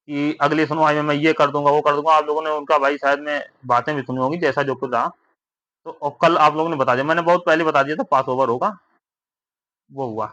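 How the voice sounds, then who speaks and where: Male exam-update vlogger, bright insistent tone, advising and reassuring, close dry sound. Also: a little high, dry recording, muffled recording. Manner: repetitive, with frequent tag questions seeking agreement. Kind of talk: informal explainer addressed to exam candidates.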